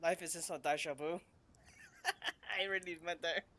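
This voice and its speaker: A man's voice: fairly high pitch, fairly even intonation, measured pace, pushed and bright, a little hiss or hum. A man giving casual, improvised commentary on a video game playthrough, a little hesitant. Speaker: gamer, host of a playthrough. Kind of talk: casual gameplay commentary, reading choices aloud. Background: faint game music.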